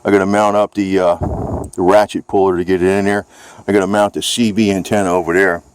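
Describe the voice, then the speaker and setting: A man's voice: low-pitched, level tone, fairly quick, smooth, dry recording. An American man chatting casually off the cuff in a rambling, informal, folksy way. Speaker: vlogger.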